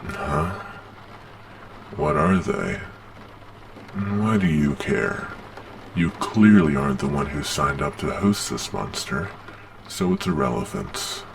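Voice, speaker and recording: A man's voice: extremely low pitch, wildly swooping pitch, takes it slowly, gravelly, pauses often, slight background noise, full character voice. A man playing a weary, matter-of-fact monster agent with a businesslike manner in an ASMR roleplay. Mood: stern, brusque.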